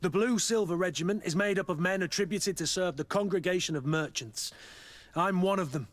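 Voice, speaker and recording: A man voicing a gruff, loyal mercenary captain in a video game, speaking bluntly and dryly. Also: high-pitched, a little fast, no echo, lightly acted. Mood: wry, businesslike.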